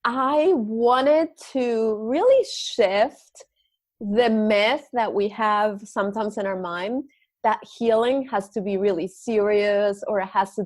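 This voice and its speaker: A woman from Argentina talks as a podcast guest about her yoga and meditation work. She speaks in a lively, spiritual way, with frequent restarts. Her voice is recorded dry and close, with no room sound. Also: medium pitch, no echo. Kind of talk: casual interview talk.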